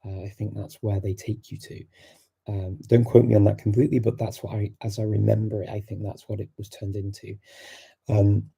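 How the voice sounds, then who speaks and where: A middle-aged man hosting a live-stream Q&A, answering viewer questions in a thoughtful, unhurried way full of fillers and restarts. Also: fairly low pitch, little pitch movement, vocal fry, warm tone, husky. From England.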